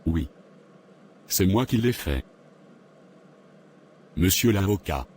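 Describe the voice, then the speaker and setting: A deep-voiced, slow-talking man on trial in an animated story, as a man acts him out. He speaks with fearful hesitation. Faint noise sits under the voice. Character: remorseful male defendant, adult man.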